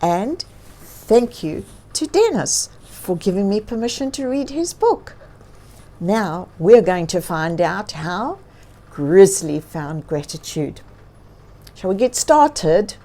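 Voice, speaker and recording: Female children's story reader: soft mellow timbre, animated intonation, encouraging, addresses the young listener directly. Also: mid-range voice, slow pace. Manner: asks listeners questions, expressive, encouraging. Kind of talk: read-aloud storytelling for children.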